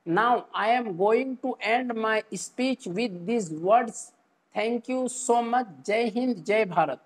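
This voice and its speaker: A woman's voice: fairly high pitch, takes it slowly. A woman with an Indian accent reciting a prepared school-style speech in a formal, careful way, phrase by phrase. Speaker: speech presenter.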